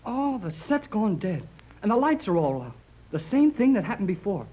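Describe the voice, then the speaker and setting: A muffled 1930s radio recording carries an eager, curious boy. His voice sits fairly high and breaks into boyish exclamations as he works the yacht's radio. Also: very animated, normal speed. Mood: alarmed, excited. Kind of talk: 1930s radio serial acting.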